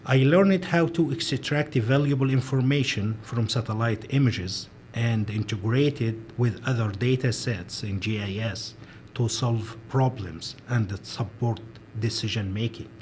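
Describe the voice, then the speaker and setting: A man in his forties, born and raised in Egypt, answering interview questions as a professor in a measured, careful way with frequent fillers. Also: mid-range voice, darkish tone, slight background noise. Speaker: professor, guest.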